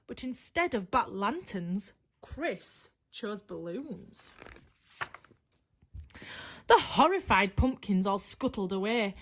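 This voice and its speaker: A woman's voice: mid-range voice, big pitch swings, takes it slowly, long pauses. A woman reading a rhyming children's story aloud, expressively and with drama.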